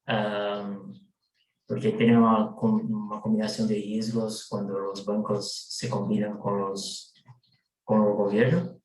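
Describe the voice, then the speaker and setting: Brazilian-accented man, low and monotone, hesitant group report over a roomy video call. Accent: Brazilian Portuguese. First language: Portuguese. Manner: hesitant, many drawn-out fillers. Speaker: participant reporting for a working group.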